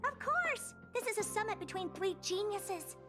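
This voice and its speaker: Smug young female genius, video game, very high voice, animated, flippant. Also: normal speed. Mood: proud. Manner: self-assured, flippant. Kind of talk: video game character acting. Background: game music.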